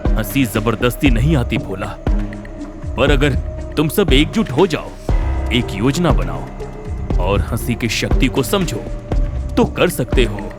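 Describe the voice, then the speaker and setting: A man playing a grave, commanding village holy man in an animated Hindi story. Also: medium pitch, takes it slowly, pauses often, music in the background. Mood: rallying. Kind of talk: Hindi animated moral horror story acting.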